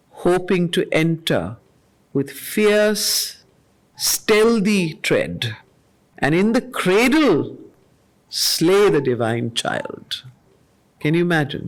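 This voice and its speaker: A woman leads a study circle, reciting poetry at a very unhurried pace. She repeats key lines with weight before unpacking their spiritual meaning. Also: fairly low pitch. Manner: slow, measured recitation, repeating lines for emphasis. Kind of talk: reading poetry aloud with spiritual commentary. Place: meeting room of a study group.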